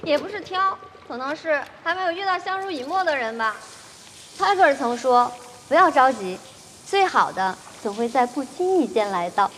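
Bright-voiced, bookish young woman. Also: high-pitched, animated, moderate pace, a little hiss or hum. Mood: wistful. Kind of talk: screen comedy-drama acting. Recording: echoing hall, music behind.

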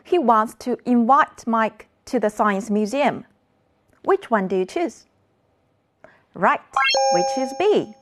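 A woman's voice: a little high, animated, takes it slowly, long pauses. A woman teaching children an English lesson, modeling words for the class to repeat.

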